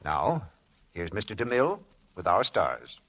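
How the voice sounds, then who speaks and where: A male announcer delivers a polished 1940s radio sales pitch. His voice is somewhat low and husky, with lively inflection and instructive phrasing, on a muffled old broadcast recording. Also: a little slow. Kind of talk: 1940s scripted radio commercial.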